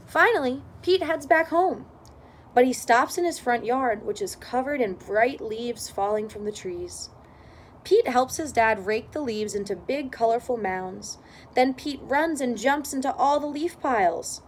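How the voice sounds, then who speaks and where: A woman reading a children's storybook aloud in expressive narration. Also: a little high, a little slow, a little hiss or hum. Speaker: storybook reader.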